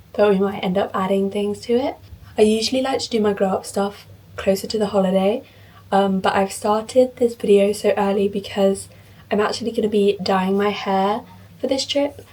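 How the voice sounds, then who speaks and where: Slightly croaky, chatty woman from the UK. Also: medium pitch, darkish tone, distant mic, rough recording. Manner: chatty, self-deprecating, thinks aloud while working. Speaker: vlogger. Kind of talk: casual vlog talk to camera. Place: home, in front of a mirror.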